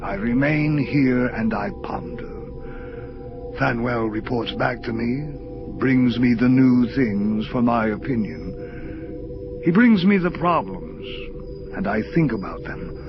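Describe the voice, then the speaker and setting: Audio drama part: serene, cryptic old angel, very slow formal delivery, dull sound with music underneath. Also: male voice, mid-range voice. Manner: measured, formal, ponderous. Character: ancient wise male angel, serene, cryptic thinker.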